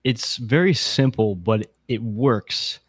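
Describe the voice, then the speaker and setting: A man's voice: medium pitch, slow pace, husky, no room sound, studio quality. A man co-hosting a podcast, casually talking through competitors in a contest. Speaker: podcast co-host.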